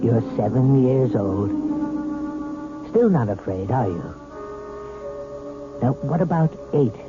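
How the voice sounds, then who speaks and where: Coaxing hypnotherapist, deliberate and probing, mid-pitched, vintage radio play with narrow tinny band and music. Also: male voice, expressive. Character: probing, patient male hypnotherapist doctor. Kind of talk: 1970s radio drama acting.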